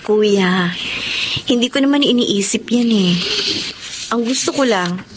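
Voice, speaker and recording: An actress playing a devoted, hopeful young daughter in a Filipino radio drama, expressive and earnest. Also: female voice, fairly high pitch, slow pace, slight background noise. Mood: earnest, grateful.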